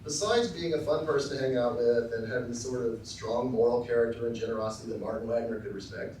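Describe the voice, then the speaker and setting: A middle-aged man from Texas giving a formal acceptance speech with dry, self-deprecating humor, often pausing with ums and uhs. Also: medium pitch, fairly even intonation, darkish tone, a little hiss or hum. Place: large lecture hall. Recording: very echoey hall, voice from far off.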